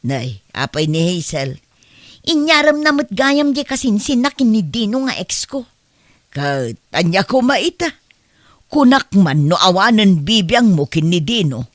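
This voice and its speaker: A woman's voice: medium pitch, very animated, unhurried, dry recording. Exasperated Ilocano mother played by a woman, dry sound. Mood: scolding. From Philippines. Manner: exclamatory, chiding. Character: middle-aged mother, exasperated, scolding, blunt. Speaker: voice actor in comedy drama. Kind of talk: Ilocano audio drama acting.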